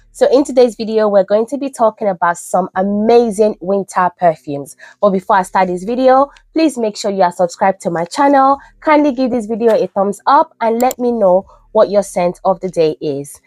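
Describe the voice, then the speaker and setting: A woman's voice: medium pitch, normal speed, hardly any pauses, a little mellow, no room sound. A young woman who sounds nasal from a cold, chatting enthusiastically as a beauty vlogger reviewing products. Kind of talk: casual product review vlog. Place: UK home.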